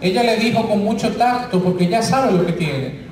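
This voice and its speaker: A man's voice: sits high, animated, normal speed, darkish tone. A man from the Dominican Republic with a Dominican Spanish accent, preaching a retreat sermon in a church, teaching through rhetorical questions and folksy asides. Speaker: preacher, friar. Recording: very echoey church, distant mic.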